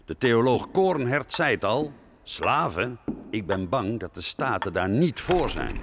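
A man's voice: medium pitch, very animated, unhurried, background noise. An actor playing an old, anxious statesman advising a prince, deferential yet admonishing, in a radio drama. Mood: grave. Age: older. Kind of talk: Dutch radio drama acting. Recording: long hall reverb.

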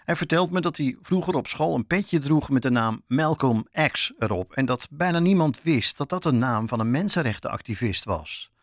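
A male reporter narrates a documentary podcast with a lively, varied melody. His pitch is medium, and he speaks straight to the listener like a storyteller. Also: moderate pace, few pauses, no room sound, clean studio sound. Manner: addresses listener directly, storytelling.

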